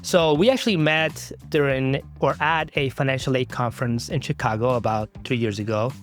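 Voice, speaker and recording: A man's voice: mid-range voice, normal speed, faint music behind. Earnest male podcast host. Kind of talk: conversational interview.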